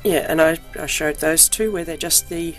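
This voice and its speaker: Croaky, under-the-weather woman, low-pitched, chatty show-and-tell with faint music behind. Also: normal speed. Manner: chatty, frequent ums, self-deprecating. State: croaky voice from illness. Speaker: crafting vlogger.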